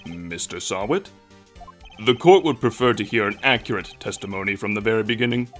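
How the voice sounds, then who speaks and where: Man playing low, solemn old judge. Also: lively intonation, a little fast, a bit bright, soft background music. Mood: stern. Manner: formal, pompous. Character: solemn old male courtroom judge, stern and pompous. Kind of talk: fan-dub video game voice acting.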